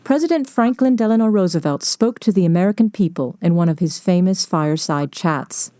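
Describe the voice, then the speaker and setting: Female documentary reporter, mellow and slightly low, scripted narration, dry close recording. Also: animated, brisk, no echo.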